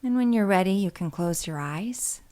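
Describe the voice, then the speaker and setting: A woman leading a guided body scan meditation in a soothing, gentle and unhurried way, leaving pauses between phrases. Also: mid-range voice, animated.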